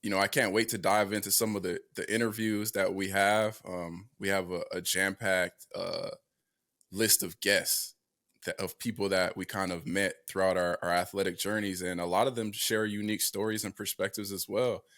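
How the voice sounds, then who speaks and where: A man's voice: low-pitched, little pitch movement, moderate pace, a bit bright. A young man hosting a casual sports podcast, talking with many fillers and restarts. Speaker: podcast host.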